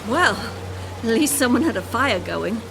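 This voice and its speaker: High-voiced, discontented sarcastic wife. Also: female voice, lively intonation, unhurried, vocal fry, rough recording. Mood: scornful. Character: discontented wife, sarcastic, self-absorbed, craving comfort. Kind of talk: radio drama acting. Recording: prominent music behind the voice.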